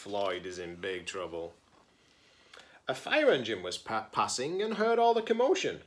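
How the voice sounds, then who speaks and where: A man reading a picture book aloud to children as a teacher-librarian, with playful dramatic emphasis, pauses and chatty asides. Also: on the low side, huge pitch swings.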